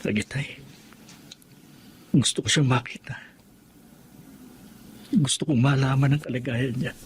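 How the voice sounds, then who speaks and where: A man's voice: mid-range voice, steady tone, unhurried, slight background noise, lightly acted. A man acting a remorseful, worried young son in an emotional, pleading Tagalog radio drama style. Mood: anxious regret. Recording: music bed behind the voice.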